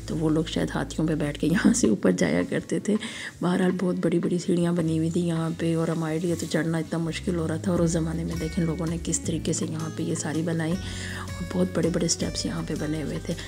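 A hoarse woman from Pakistan with a sore throat, narrating a casual, admiring walking tour for her vlog. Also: deep voice, little pitch movement, fairly quick, music in the background. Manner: chatty, admiring, guiding viewers along. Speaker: travel vlogger.

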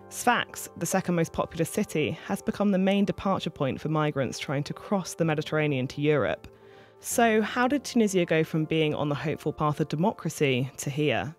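A reporter giving scripted news narration with a clear, even delivery. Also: mid-range voice.

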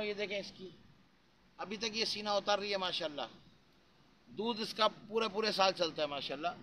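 A middle-aged man with a high voice, chatting to camera in an outdoor cattle yard. He repeats himself and strings together pious interjections as he pitches. The sound is a noisy outdoor phone recording with a small hand-held mic. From Pakistan. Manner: chatty, repetitive, frequent religious interjections. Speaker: cattle farm vlogger. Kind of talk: casual selling pitch to camera. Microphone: handheld lavalier mic held near mouth.

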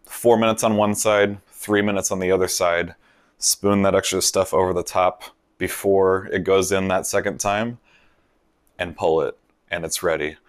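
Low-voiced man explaining a recipe. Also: moderate pace, dry recording, studio quality. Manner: relaxed, step-by-step explaining. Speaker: cooking show host. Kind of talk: voice-over cooking instructions. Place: kitchen in a vacation villa.

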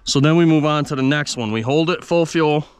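Clear-voiced man explaining an engine repair. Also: a little high, brisk, no echo, studio quality. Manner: relaxed, talks the viewer through each step. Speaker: mechanic restoring an old diesel engine. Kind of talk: casual step-by-step repair walkthrough.

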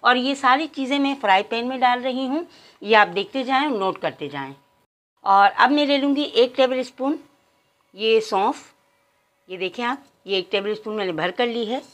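A woman's voice: fairly high pitch, lively intonation, normal speed, fairly bright tone. A woman hosts a cooking channel. She walks viewers through a recipe step by step in her home kitchen, addressing them formally and counting out each measure aloud.

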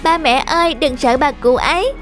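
A woman's voice: high voice, moderate pace, soft background music, close to an everyday voice. A woman playing an innocent, curious little schoolgirl in a cartoon, polite and earnest. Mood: earnest, pleading. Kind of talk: dubbed cartoon dialogue.

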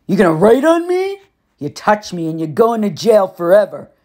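A woman voicing a gruff, bullying stepfather who snarls and sneers. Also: mid-range voice, very animated, normal speed, darkish tone, voice pushed up. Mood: sneering.

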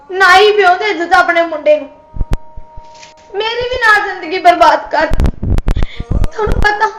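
Young woman doing a hurt young bride, crying and accusing, really high voice, echoey room w/ soft music under it. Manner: emotional, tearful pleading. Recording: roomy echo, faint music.

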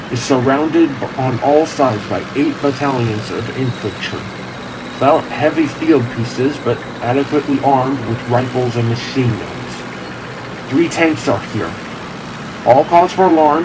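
A man's voice: mid-range voice, lightly acted. Man acting a confident army captain, noisy background. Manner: official, self-assured. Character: confident, brisk army captain reporting from the field. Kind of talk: radio play acting. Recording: clear vehicle-like background noise.

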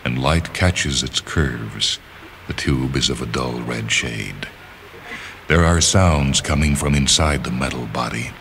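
A man narrating literary fiction as a dramatic reading, in a measured, deliberate manner with pauses. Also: extremely low pitch, a little hiss or hum. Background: music.

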